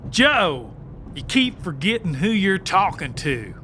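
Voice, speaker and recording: A high, bright male voice, slow-paced, for a cocky country sidekick in an audiobook drama. He is folksy, crude and blustering. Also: big pitch swings, a little hiss or hum, exaggerated voice. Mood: boastful.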